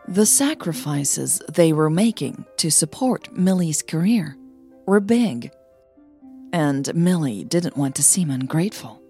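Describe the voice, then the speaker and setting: A woman narrating a scripted audio story as a podcast host, with measured, deliberate phrasing. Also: low voice, expressive, dark tone, faint music behind.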